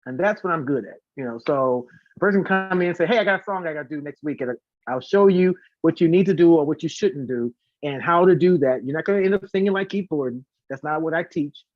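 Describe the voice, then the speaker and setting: A man's voice: fairly high pitch, quick pace, no room sound. Chatty man on dull lo-fi audio. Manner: talkative, animated storytelling with acted-out quotes and demonstrations. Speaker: guest, singer and voice teacher. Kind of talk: casual live chat interview.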